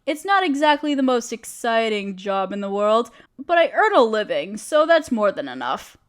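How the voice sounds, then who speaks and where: A woman's voice: on the high side, big pitch swings, moderate pace. A woman playing a friendly, genteel witch who chats kindly in quaint, dainty phrases, in an audio roleplay. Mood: gently teasing. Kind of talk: scripted audio roleplay speaking to the listener.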